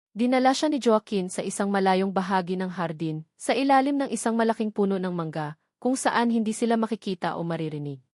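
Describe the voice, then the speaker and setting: A woman's voice: medium pitch, a little mellow, dry recording, studio quality. Woman narrating a dramatic love story. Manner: emotive storytelling.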